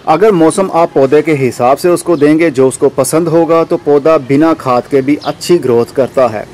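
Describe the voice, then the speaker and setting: A man's voice: fairly high pitch, moderate pace, a little mellow, slight background noise. A man hosting an informal how-to gardening video, explaining step by step to the viewer. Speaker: gardening channel host. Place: outdoors in a rooftop garden.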